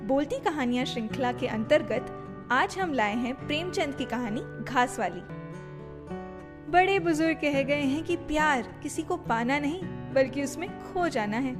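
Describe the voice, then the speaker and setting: A woman's voice: high voice, expressive, measured pace. A woman presenting a scripted introduction to an audio story series. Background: music.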